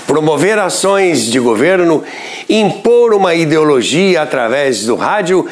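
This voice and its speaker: An older man with a Brazilian Portuguese accent who hosts a radio history show, narrating from a script with expressive, emphatic delivery. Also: a little high, measured pace, slight background noise.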